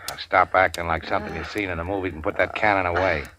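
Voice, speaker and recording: An unflappable gangster in a 1940s radio thriller. His voice is very deep, with a crisp, forceful edge. He delivers every line dry and wisecracking, without ever losing his cool. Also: fairly even intonation, quick pace, slight background noise. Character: cool-headed male gangster go-between. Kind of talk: 1940s radio drama acting.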